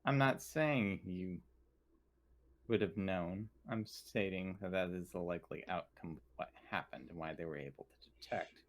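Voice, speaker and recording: A man playing a coolly analytical, formal company official in a tabletop roleplay, speaking deliberately and precisely. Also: sits low, a little hiss or hum, lightly acted. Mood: matter-of-fact.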